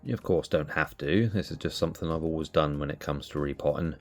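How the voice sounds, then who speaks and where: A man hosting a cactus channel, sounding nasal from allergies, calmly explaining as he narrates. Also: extremely low pitch, quick pace, soft background music. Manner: relaxed, explanatory. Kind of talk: casual voice-over narration.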